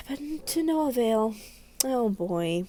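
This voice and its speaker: A woman's voice: on the high side, lively intonation, extremely slow, a bit bright. A woman reading a visual novel aloud as a game video creator, narrating dramatically and expressively with casual asides.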